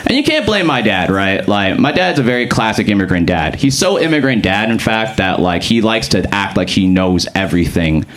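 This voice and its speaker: A young man from Boston with a deep voice, doing a casual stand-up comedy set with lots of fillers. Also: sing-song, moderate pace, hardly any pauses. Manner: casual, conversational delivery with frequent fillers. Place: comedy club stage. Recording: comedy club with clear audience noise.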